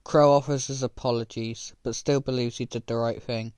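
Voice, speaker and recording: An actor playing a businesslike robot companion in a sci-fi game, narrating mission briefings in a matter-of-fact way. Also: male voice, mid-range voice, steady tone, moderate pace, darkish tone, no echo, studio quality, natural voice. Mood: reassuring report. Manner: clear, matter-of-fact reporting.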